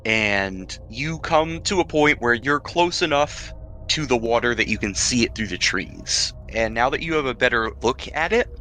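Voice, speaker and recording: Bright-voiced man running a tabletop game. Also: mid-range voice, lively intonation, moderate pace, faint music behind. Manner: explanatory, conversational. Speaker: game master. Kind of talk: improvised narration of a tabletop game.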